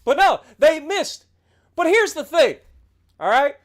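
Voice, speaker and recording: A man's voice: very high-pitched, very animated, unhurried. A man in his late thirties, a game streamer, talking through a heated, sarcastic, heavily profane rant on his livestream. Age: 30s.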